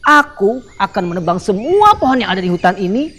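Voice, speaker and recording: A woman voicing a greedy, boastful male woodcutter who talks loudly and cackles. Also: mid-range voice, sing-song, a little fast, slight background noise, pitched above the performer's own voice.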